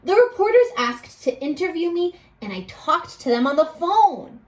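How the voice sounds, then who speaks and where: A woman teacher reading a picture book aloud to young children, expressively, pausing to put questions to them. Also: very high voice. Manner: animated, addressing children with questions and pauses.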